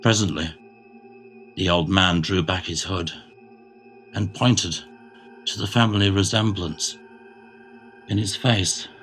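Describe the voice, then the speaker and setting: A man giving a theatrical, dramatic narration of a gothic horror tale, phrasing it deliberately, with music behind him. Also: low voice, steady tone, fairly bright tone.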